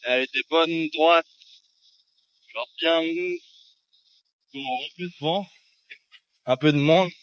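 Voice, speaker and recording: A man vlogging at a surf beach, commenting on the waves in a relaxed, offhand way with hesitant fillers. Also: fairly high pitch, slow, pauses often, no echo, dull audio. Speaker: surfer vlogger. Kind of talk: casual spoken commentary. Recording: loud outdoor noise, voice far from the mic.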